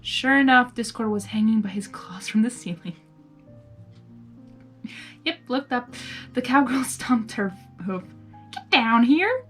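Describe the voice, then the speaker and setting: A woman's voice: a little high, a little slow, long pauses, a bit bright, faint music behind. A woman narrating a story informally as an amateur reader, dropping in spontaneous casual asides and stumbling now and then. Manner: reacts spontaneously while reading, stumbles over words. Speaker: fan fiction reader, narrator.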